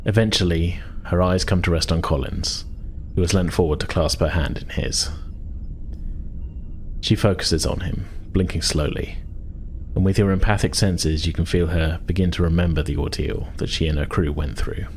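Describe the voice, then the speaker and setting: A man's voice: low-pitched, fairly even intonation, moderate pace, a little mellow, a little hiss or hum. A man narrating a tabletop science fiction game as game master and host.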